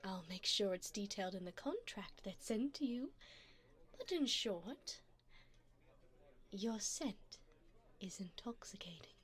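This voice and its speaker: A woman playing a wealthy, seductive vampire in an ASMR roleplay, doting yet predatory, with a poised, formal, teasing and breathy delivery. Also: medium pitch, animated, slow, pauses often, a bit bright. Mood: seductive, hungry. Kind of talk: ASMR roleplay, addressing the listener.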